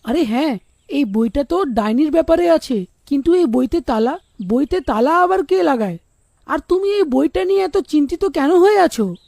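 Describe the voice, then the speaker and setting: A woman's voice: high voice, expressive, normal speed, gravelly, mellow, no room sound, studio quality. A woman playing a sensible, concerned young male student in a children's cartoon, in an earnest, questioning manner.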